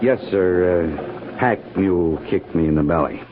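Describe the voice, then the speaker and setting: An American actor playing a loyal, plain-spoken frontier army sergeant with folksy speech in a 1950s radio western. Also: male voice, low-pitched, measured pace. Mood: sober, respectful. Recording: very noisy, tinny old radio recording.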